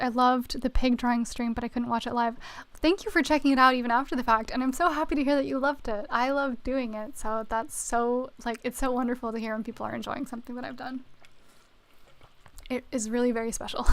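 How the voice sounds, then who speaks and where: A woman's voice: on the high side, no echo. A young woman streaming a video game, chatting casually and reading out chat messages, her voice a little worn. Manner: chatty, reads out chat messages, many fillers like "like". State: slightly losing her voice. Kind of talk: casual live-stream chat while gaming.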